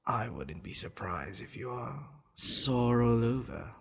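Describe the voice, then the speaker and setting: A man playing a doting, possessive vampire lover in an ASMR roleplay, talking tenderly and teasingly in a husky, gravelly voice. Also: medium pitch, animated, takes it slowly, fairly bright tone, heavily acted. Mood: tender concern. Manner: tender, soothing, teasing, intimate. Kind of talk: ASMR boyfriend roleplay acting.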